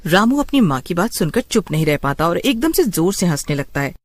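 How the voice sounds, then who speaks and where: Female narrator, dramatic horror storytelling, very lively intonation, medium pitch, dry close recording. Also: brisk, dry recording.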